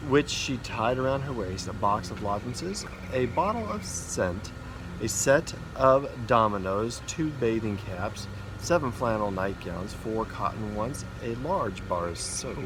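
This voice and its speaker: A man, a school principal, reading a children's storybook aloud outdoors in an informal way for young listeners. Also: medium pitch. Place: outdoors in a backyard. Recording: outdoor noise, vehicle and ambient hum. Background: loud outdoor ambience, vehicle noise.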